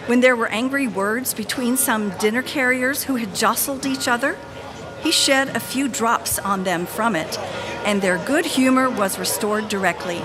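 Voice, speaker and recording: A woman narrating a Victorian tale as a live dramatic reading. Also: fairly high pitch. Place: live in a church. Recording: reverberant hall.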